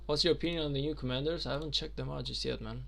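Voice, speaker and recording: A man's voice: fairly high pitch, steady tone, very fast, vocal fry, fairly bright tone, soft background music. A young man from Albania, chatting casually on a game stream and answering viewer questions with lots of fillers. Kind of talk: casual live-stream chat.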